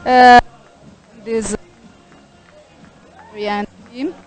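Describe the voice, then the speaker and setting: A woman team official, not a native English speaker, answering an interview haltingly and searching for words. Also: fairly high pitch, fairly even intonation, clean tone, soft background music, poor audio.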